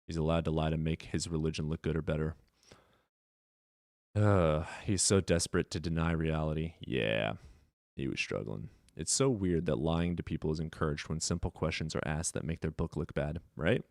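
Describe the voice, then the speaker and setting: Gravelly, profane male debate host. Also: very deep voice, moderate pace, a little mellow, no echo, studio quality. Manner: aggressive, profane, sarcastic. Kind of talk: combative live call-in debate.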